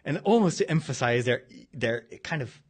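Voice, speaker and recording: Dutch-accented man, lively pitch. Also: normal speed, breathy edge. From Netherlands. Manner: digressive, searching for words mid-sentence. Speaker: priest and podcast host. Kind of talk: casual spoken monologue.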